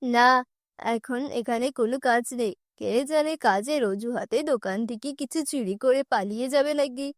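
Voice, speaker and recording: A woman's voice: on the high side, normal speed, no echo, studio quality, voice pushed down, close to an everyday voice. A woman voicing a suspicious, dismissive female shopkeeper in a cartoon, curt and insulting.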